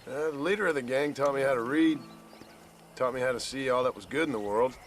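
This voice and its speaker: An actor playing a gruff, weary former outlaw cowboy with an American accent, speaking plainly and haltingly in a video game drama. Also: male voice, medium pitch, lightly acted. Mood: weary, reflective. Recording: faint outdoor background noise.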